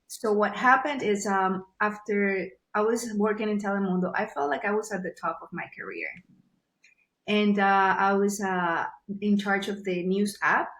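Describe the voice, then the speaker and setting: A woman with a Venezuelan Spanish accent speaks as a guest in a casual podcast interview. Spanish is her first language, and she speaks earnestly, pausing to find her words. Her voice bounces around a reverberant room. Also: medium pitch, fairly bright tone. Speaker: guest, journalist.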